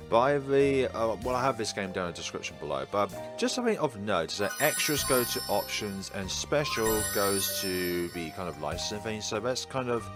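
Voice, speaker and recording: A man's voice: fairly low pitch, big pitch swings, moderate pace, music in the background. A man hosting a gaming video, giving rambling commentary and reading game text aloud. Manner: rambling, musing asides.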